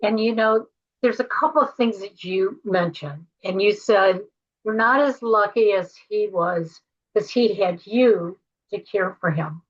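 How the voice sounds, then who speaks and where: An older American woman speaks as a podcast host over slightly dull, band-limited audio. Her voice sits at a medium pitch. She explains things in a measured, conversational way, addressing listeners with practical advice. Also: a bit bright.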